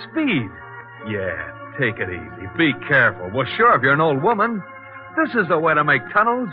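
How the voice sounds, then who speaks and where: Cocky young workman, pitch jumping all over, 1940s radio play, music behind him. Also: moderate pace. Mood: brash, excited. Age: young adult. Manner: excitable, driving, scornful of caution. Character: brash, reckless young tunnel laborer. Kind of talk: 1940s radio drama acting. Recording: dull old radio recording with music. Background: loud music.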